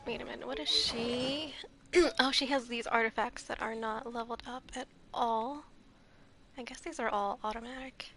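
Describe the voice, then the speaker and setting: A woman with a soft, quiet voice, chatting casually while she plays a game. She is a little sleepy, holds her volume back, and laughs lightly and often. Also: fairly high pitch, steady tone, moderate pace, soft background music, poor audio. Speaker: streamer. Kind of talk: casual gameplay commentary. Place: home room, shared house.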